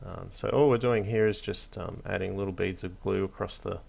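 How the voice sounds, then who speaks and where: A man hosting a hobby tutorial, narrating casually off the cuff in a hesitant, low-key, encouraging way. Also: steady tone, fairly quick, gravelly, darkish tone. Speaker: hobby craft tutorial host.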